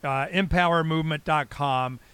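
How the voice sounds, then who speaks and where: American guy around 60, bit high voice, rambly blunt radio host chat, cusses some. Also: normal speed, fairly bright tone, smooth, dry recording. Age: late 50s to early 60s. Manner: rambling, blunt, salty slang. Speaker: talk show host. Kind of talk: casual conversational interview.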